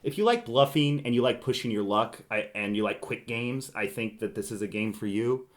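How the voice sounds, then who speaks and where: A man hosting a podcast, chatting casually about board games and thinking aloud in an animated way. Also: medium pitch, brisk. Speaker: podcast host. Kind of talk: casual board game chat.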